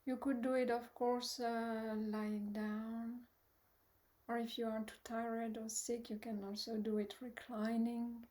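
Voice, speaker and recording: A woman with a fairly high, mellow voice leads a guided meditation at a very slow pace. She is soft and gentle, often trailing into breathiness. She pauses long and hesitates as she gives instructions. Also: flat delivery. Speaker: meditation teacher.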